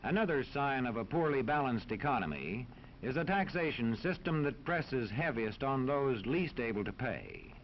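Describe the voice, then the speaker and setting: An American man narrating a scripted 1940s educational film in a formal, authoritative, measured manner. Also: mid-range voice, big pitch swings. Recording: dull 1940s film soundtrack, faint noise.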